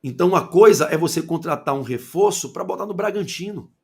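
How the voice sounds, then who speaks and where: A man with a Bahian accent holds forth on football in a fairly high, gravelly voice. He keeps throwing rhetorical questions at the listener and swings from loud outbursts down to low asides. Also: animated, brisk. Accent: Bahian Portuguese. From Salvador, Bahia, Brazil. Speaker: football commentator. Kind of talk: opinionated football commentary monologue.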